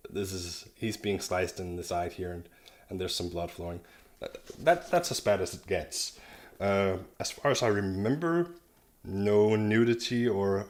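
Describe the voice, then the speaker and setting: Deep-voiced man reviewing manga. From Denmark. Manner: enthusiastic, rambling, with frequent restarts. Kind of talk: casual video review monologue.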